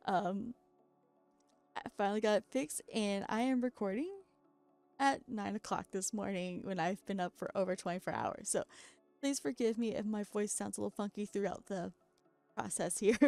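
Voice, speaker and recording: A woman's voice: medium pitch, vocal fry, a little mellow. A woman narrating her doll customizing in a casual voice-over. She is very tired after being up all night, her voice keeps cracking, and she chats along with frequent self-deprecating laughs.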